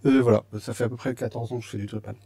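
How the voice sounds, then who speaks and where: French guy presenting at a meetup, lots of euh and restarts, mellow kinda dark voice. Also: medium pitch, steady tone, rapid-fire, vocal fry, slight background noise. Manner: hesitant, many fillers and restarts. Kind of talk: casual conference talk. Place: meetup room with audience.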